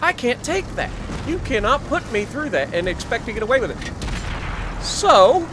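A man's voice: very high voice, sing-song, a little slow, noisy background. A young American man casually telling a story over tank game play in short, emphatic phrases, as host of a gaming video.

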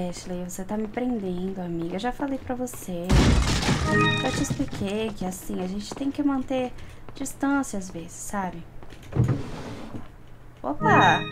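A young Brazilian woman giving casual, chatty gameplay commentary, often repeating words in quick bursts. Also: medium pitch, animated. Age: young adult. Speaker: gaming YouTuber. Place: indoor room, seated at computer. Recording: game music behind voice. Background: loud game music and effects.

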